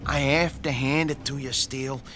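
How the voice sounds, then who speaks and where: An actor playing an anxious sidekick in a radio drama, talking in a nervous, pleading, stammering way. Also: male voice, medium pitch, fairly even intonation, moderate pace, fairly bright tone, a little hiss or hum, close to an everyday voice.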